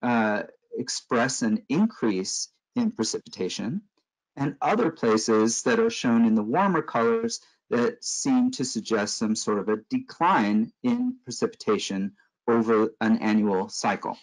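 A middle-aged man from Los Angeles with an American accent, giving a conference lecture over slides in a measured, explanatory way with frequent fillers. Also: medium pitch, rough recording. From Los Angeles, California.

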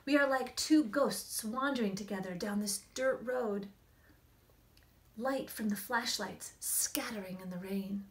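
A woman in her forties, an author reading and explaining things to children in an expressive, emphatic storytelling manner. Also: medium pitch, a little slow. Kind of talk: expressive picture-book read-aloud and explanation to children. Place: small indoor room against a plain wall.

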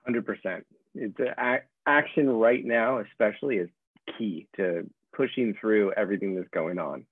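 A man hosting an online interview in casual, halting talk, heard over a video call. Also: fairly low pitch, husky, no echo. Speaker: interview host. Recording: muffled, dry video-call audio.

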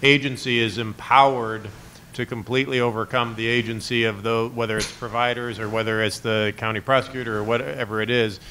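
A man speaking into a table mic at a conference panel. He explains his view earnestly, and his voice has a bright, forward edge. A faint hiss sits in the background. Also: mid-range voice, level tone, a little fast, poor audio. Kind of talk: panel discussion answer. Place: conference room.

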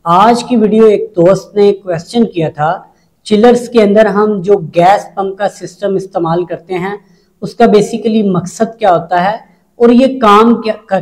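A man's voice: sits high, normal speed, a little mellow, reverberant, rough recording. A man in his thirties, talking casually to camera as he explains a technical drawing step by step, with restarts and fillers, on a lapel mic in an office. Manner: conversational teaching with frequent fillers and restarts. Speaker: HVAC instructor. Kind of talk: technical tutorial explaining a diagram. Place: office with desks and computers.

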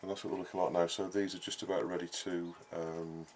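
A man giving a casual, explanatory gardening talk in a halting, unscripted way, as a vlogger would. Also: low voice, flat delivery, a little mellow. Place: outdoor vegetable garden and greenhouse. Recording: clearly noisy outdoor ambience, uneven distance.

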